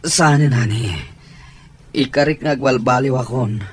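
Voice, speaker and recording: A man's voice: mid-range voice, a little slow, breathy edge, soft background music. A man playing a desperate, submissive husband with a Filipino accent, begging in an Ilocano radio drama. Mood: pleading.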